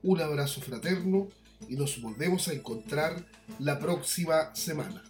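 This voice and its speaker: A man's voice: on the high side, expressive, unhurried. A man hosting a radio programme with formal, scripted presenting. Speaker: radio news programme host. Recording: roomy echo, faint music.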